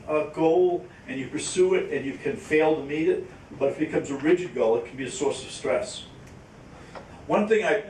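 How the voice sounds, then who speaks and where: A man speaking informally at a question-and-answer session, haltingly, repeating words as he explains with examples. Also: darkish tone. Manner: halting, repeats words, illustrates with acted-out quotes. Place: echoey indoor conference room. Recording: roomy, distant mic, faint rumbling noise.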